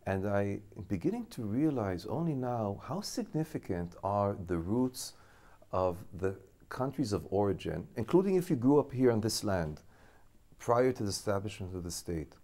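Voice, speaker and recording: A man in his fifties who grew up in Israel, a school director speaking as an interview guest, thinking aloud with halting restarts. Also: lowish voice, big pitch swings, mellow. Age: 50s. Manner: thoughtful, halting, restarts while reasoning. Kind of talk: studio interview.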